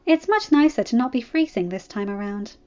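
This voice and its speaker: A woman's voice: fairly high pitch, lively intonation, fairly quick, darkish tone, close to an everyday voice. A woman voicing a playful, shrewd young noblewoman in a story, fond and assured. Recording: muffled lo-fi recording, music behind.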